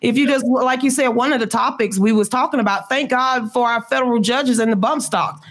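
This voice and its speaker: A woman, a podcast guest talking politics in a blunt, emphatic, rhetorical way. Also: on the high side. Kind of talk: heated political talk.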